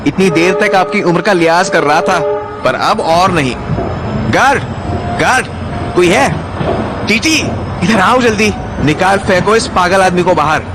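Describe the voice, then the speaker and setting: A man playing a cheeky, sarcastic, quick-witted young train passenger in an audio drama. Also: high voice, background noise. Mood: indignant. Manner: sarcastic, mocking. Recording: loud music bed behind the voice.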